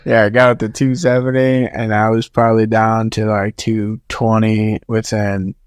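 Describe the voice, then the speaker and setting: A man's voice: fairly low pitch, little pitch movement, normal speed, smooth, no echo, studio quality. A man, a guest who promotes fight events, speaking bluntly and matter-of-factly with frequent swearing. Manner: blunt, profane, matter-of-fact. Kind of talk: casual chat.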